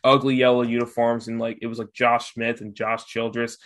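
A man's voice: medium pitch, flat delivery, moderate pace, fairly bright tone. Young man talking sports, full of "like". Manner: filler-heavy, lots of "like". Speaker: guest. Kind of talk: casual sports chat.

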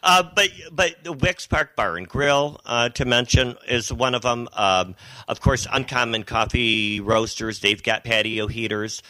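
A man's voice: mid-range voice, unhurried, sharp tone, low-quality recording. A man hosting a local radio talk show, chatting casually and hesitating often with "uh". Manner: easygoing, hesitant with many "uh"s.

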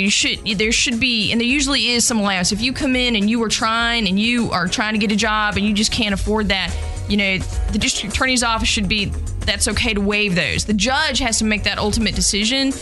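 A woman's voice: a little high, a little fast, pushed and bright. A woman speaking bluntly and informally as a guest in a radio interview, with emphatic phrasing. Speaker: interview guest, district attorney candidate. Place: radio studio. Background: music.

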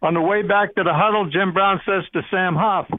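A man's voice: high voice, fairly even intonation, brisk, dry recording. A man in his eighties from Ohio calling in to a radio show over a tinny phone line, casually telling anecdotes. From Ohio, United States. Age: 80s. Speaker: phone-in caller.

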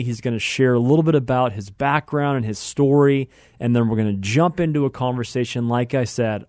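A man's voice: mid-range voice, a little mellow, no room sound, clean studio sound. An American man hosting a radio talk show, speaking conversationally and effusively, repeating phrases for emphasis. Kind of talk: conversational show introduction and interviewing.

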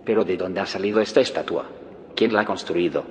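Deep-voiced weary actor as doubting scientist, echoing cave. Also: male voice, a little fast, natural voice. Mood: bewildered. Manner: earnest, disbelieving. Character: weary, questioning male scientist returned after long absence. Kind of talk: audio drama dialogue. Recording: reverberant cave with noisy ambience.

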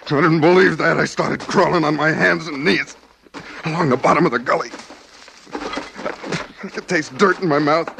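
A man's voice: a little high, moderate pace, vocal fry, long pauses, a bit bright. A desperate, world-weary man on the run. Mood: anguished. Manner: brooding, world-weary, pleading. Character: desperate hard-luck drifter on the run, narrating. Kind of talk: 1940s radio noir acting, first-person narration. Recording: noisy 1940s radio recording.

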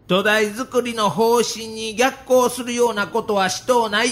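A man's voice: high voice, steady tone, a little slow, fairly bright tone, soft background music. A man playing a stubborn, middle-aged baseball manager with a Kansai accent, speaking firmly in an audio documentary drama. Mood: resolute.

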